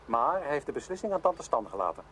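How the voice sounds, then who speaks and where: An actor playing a self-important young man in a Dutch radio play, speaking gravely and emphatically, as one who confides. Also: mid-range voice, big pitch swings, fast, a bit bright. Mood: solemn, important. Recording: noisy old radio drama recording.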